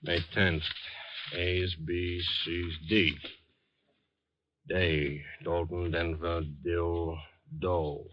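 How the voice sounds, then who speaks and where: A man's voice: low-pitched, little pitch movement, drawn-out words, pauses often, no echo. A man playing an obliging, seasoned American police sergeant in a 1950s radio drama, casual and matter-of-fact. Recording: dull noisy vintage radio recording.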